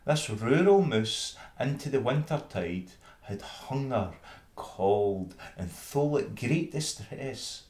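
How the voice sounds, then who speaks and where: A Scottish man reading an old fable in verse with measured, dramatic storytelling. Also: medium pitch, lively intonation, a little mellow. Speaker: reader. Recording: roomy, echoing space.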